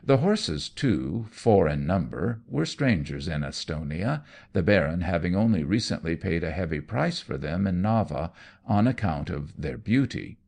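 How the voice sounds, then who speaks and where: A man's voice: deep voice, big pitch swings, unhurried, creaky, darkish tone. A man reading gothic fiction aloud in a dramatic, theatrical, suspenseful storytelling manner. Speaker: audiobook narrator.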